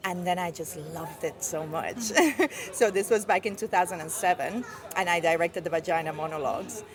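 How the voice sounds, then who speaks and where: A woman from Iran whose first language is Farsi, a guest poet talking thoughtfully in a casual interview outdoors, with soft fillers. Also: on the low side, moderate pace, crowd noise. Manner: reflective, with soft fillers and light laughs. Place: outdoors outside a bookshop.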